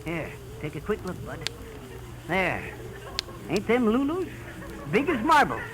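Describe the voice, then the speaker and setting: An actor playing a blustering, folksy American small-time fibber in slangy, boastful 1930s radio comedy style. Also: male voice, high voice, huge pitch swings, measured pace, heavily acted. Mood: boastful, sly. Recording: noisy vintage 1930s radio broadcast.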